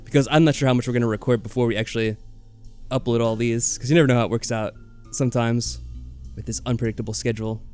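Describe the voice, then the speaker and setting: A male let's play host with an average-pitched voice talks casually over soft music. He rambles a little and tends to qualify what he says as he comments on the game. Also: steady tone, fast talker. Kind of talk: casual chat and game commentary, reading game text aloud. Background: game music behind the voice.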